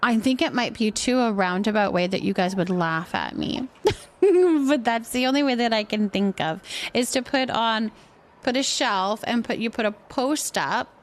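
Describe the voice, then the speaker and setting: A woman's voice: mid-range voice, normal speed. A woman chatting casually as she hosts a game livestream, thinking aloud and reacting to viewers. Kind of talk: casual chat while playing a building game, reacting to viewers.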